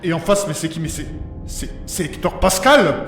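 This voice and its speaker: A man acting as an earnest, fretful fantasy companion with a fairly high male voice. He is formal and deferential even when worried, with music clearly playing underneath. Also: normal speed. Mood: startled. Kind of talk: French fantasy audio fiction acting.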